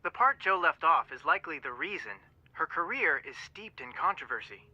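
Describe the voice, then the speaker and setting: Matter-of-fact straight-man sleuth, video game, very sharp tone, dry close sound, phone filter. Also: a little high, animated, moderate pace, breathy edge, dry recording, dull audio, full character voice. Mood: dry, serious. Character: dry, level-headed male detective, the straight man.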